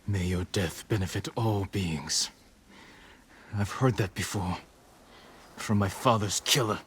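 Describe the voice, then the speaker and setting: An actor playing a grim young samurai lord in a video game, stern, restrained and intense. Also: male voice, lowish voice, slow, fairly bright tone, slight background noise. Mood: cold anger. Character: grim young samurai lord haunted by his father's death. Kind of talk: dramatic video game cutscene acting.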